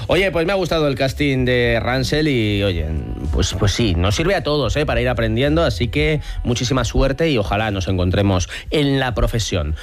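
A man hosting a talk show about acting castings, giving lively, encouraging advice in a casual panel chat. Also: medium pitch, moderate pace, few pauses. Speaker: radio show host.

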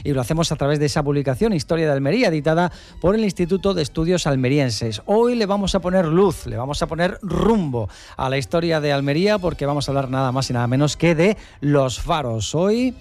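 A man's voice: fairly high pitch, lively intonation, a little fast, a little mellow. A man introducing a radio segment in an upbeat, announcing manner. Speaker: radio presenter. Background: theme music.

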